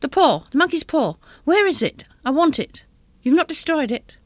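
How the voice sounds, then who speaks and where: A woman's voice: high voice, animated, a little fast, fairly bright tone, no echo. A woman reading a story as a grieving, desperate old mother, crying out in a hysterical, insistent manner. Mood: frantic excitement.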